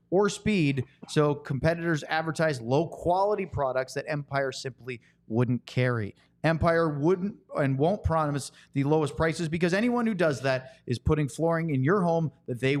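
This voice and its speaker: Higher-pitched man hosting a podcast. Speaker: sports podcast host. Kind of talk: podcast hosting and scripted ad reads.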